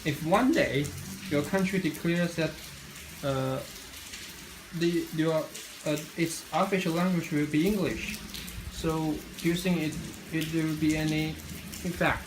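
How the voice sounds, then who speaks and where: A man's voice: a little high, fairly even intonation, unhurried. A young man, a student, interviewing a classmate and reading his questions in a hesitant way, with many fillers and restarts. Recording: noisy with frying sizzle behind.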